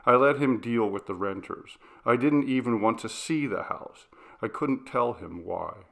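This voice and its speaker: A man's voice: unhurried, gravelly. A man reading a ghost story in the first person as scripted, dramatic narration. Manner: dramatic, acts out dialogue with shifts of pitch and volume. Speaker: story reader.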